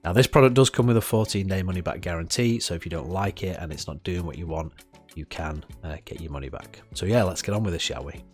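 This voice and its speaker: A man with a cold, sniffly and a little stuffy, talks through a product review in a very low voice. He rambles casually, with plenty of "uh"s and restarts, while faint music plays quietly behind him. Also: fast. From United Kingdom. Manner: chatty, frequent "uh" fillers and restarts. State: has a head cold, sniffling.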